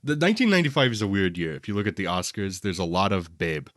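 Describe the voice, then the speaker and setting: A man's voice: sits low, animated, fast, no echo, studio quality. A man co-hosting a podcast, talking through film opinions in an informal, discursive and analytical way. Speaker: podcast co-host. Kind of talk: casual film review chat.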